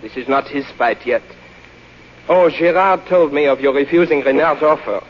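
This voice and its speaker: An actor playing an earnest, dignified French resistance man in a 1940s radio drama, with formal, measured phrasing. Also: a little high, lightly acted. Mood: grave, earnest. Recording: muffled, hissy old radio recording.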